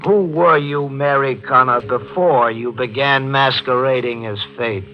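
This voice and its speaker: An actor playing a probing, no-nonsense district attorney in a 1940s radio drama, with a brisk, pointed manner. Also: male voice, mid-range voice, highs cut off, lightly acted. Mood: pointed, insinuating. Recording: band-limited 1940s radio recording with music.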